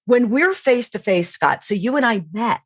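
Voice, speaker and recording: A woman's voice: fairly high pitch, expressive, normal speed, breathy edge, no room sound, clean studio sound. A woman explaining things as an expert podcast guest, in an instructive way, often posing questions and answering them herself. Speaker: guest, sales training expert. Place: remote online call.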